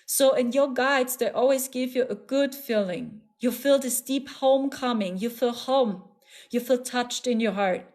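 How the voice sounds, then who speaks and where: A woman's voice: a little high, a little slow, a little mellow. A woman with a German accent hosting a live stream as a spiritual channeler, talking in an earnest, repetitive, soothing way with frequent little laughs. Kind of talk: casual live-stream talk.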